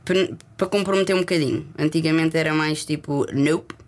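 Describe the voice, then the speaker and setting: Reflective woman with a Lisbon accent. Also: deep voice. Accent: Lisbon Portuguese. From Lisbon, Portugal. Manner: reflective, halting, mixes in English words. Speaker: interview guest, performer. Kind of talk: candid conversational interview.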